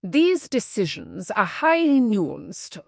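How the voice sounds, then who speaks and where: A woman reading practice passages aloud in a measured, scripted style. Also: medium pitch, very animated, creaky, dry recording, studio quality. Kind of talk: measured read-aloud of exam texts.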